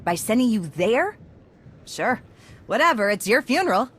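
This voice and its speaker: An actress playing a brash, evasive underworld informant in a video game, with a flippant, sarcastic, casual way of talking. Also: female voice, fairly high pitch, very animated, normal speed, fairly bright tone.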